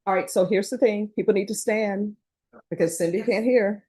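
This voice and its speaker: Woman, judge, direct, husky, warm tone. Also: mid-range voice. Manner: brisk, direct. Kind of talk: courtroom rulings.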